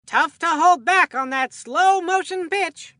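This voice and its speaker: An excitable male cartoon baseball commentator with a high-pitched, forceful voice. The delivery is folksy and hammy, full of showman's polish for a video game, and the sound is dry and close. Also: slow, dry recording, exaggerated voice. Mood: excited. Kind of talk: scripted video game sports commentary with puns.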